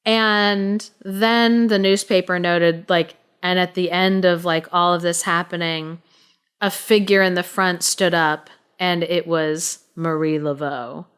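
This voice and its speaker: A woman co-hosting a podcast, telling a story casually in an informal, halting, explanatory way. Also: fairly low pitch, steady tone, a bit bright, clear, resonant tone.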